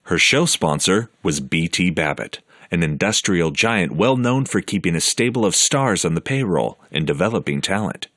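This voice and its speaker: Male narrator, low voice, measured scripted read. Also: expressive, a bit bright, no echo. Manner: measured, informative delivery. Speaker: documentary narrator.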